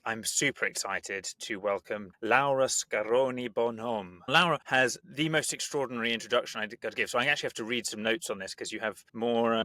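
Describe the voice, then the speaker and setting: Male interview podcast host, conversational delivery, medium pitch, very dry recording. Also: sharp tone, no echo.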